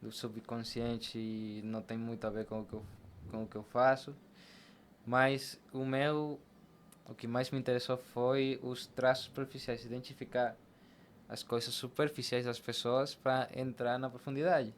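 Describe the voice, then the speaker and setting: A man's voice: medium pitch, little pitch movement, unhurried. A man, a Spanish speaker, talking casually and explaining things with examples as an interview guest. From Venezuela. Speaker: podcast guest, barber. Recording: noticeable background noise and ambience.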